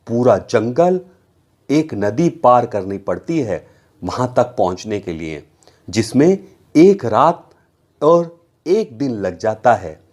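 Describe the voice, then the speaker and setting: Man acting out kindly storybook uncle, patient, cautioning, slow and husky, animated pitch. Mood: patient explaining. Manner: explains patiently, firm warnings to children. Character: kindly, cautious adult uncle who runs a bakery. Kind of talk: children's story dialogue.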